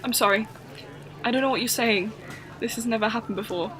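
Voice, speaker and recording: Woman playing an earnest, higher-voiced young companion. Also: fairly quick, a crowd in the background, lightly acted, rough recording. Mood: puzzled. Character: bewildered, earnest young female time-traveller's companion. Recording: music behind.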